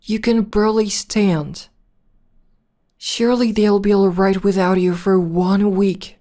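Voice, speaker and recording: A woman playing a villainess in an ASMR roleplay, soothing and teasing as she tends to her nemesis with possessive tenderness and drawn-out endearments. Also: mid-range voice, warm tone, lightly acted. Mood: stern, exasperated. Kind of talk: ASMR roleplay, soft intimate acting.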